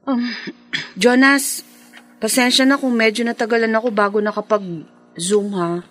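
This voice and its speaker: A woman's voice: fairly high pitch, fairly even intonation, measured pace, close to an everyday voice. A woman with a Filipino accent playing an earnest, hopeful migrant housemaid in a radio drama, speaking expressively and conversationally. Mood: resigned, reassuring. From Philippines. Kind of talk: classic radio drama acting.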